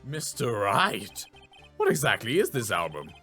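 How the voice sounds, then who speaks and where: A man performs an elderly video game judge. He speaks formally and sternly, with a pompous air and big swings of pitch. Music sits faintly behind. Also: wildly swooping pitch, a little slow, bright, forceful tone, exaggerated voice. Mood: puzzled.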